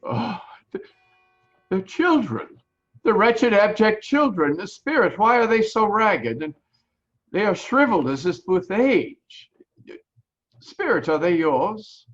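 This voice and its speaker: An actor playing a fearful, repentant old miser in an audio drama, with a halting, anxious, pleading manner. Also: male voice, sits high, big pitch swings, full character voice. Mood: horrified pity. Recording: dull lo-fi sound with faint hum.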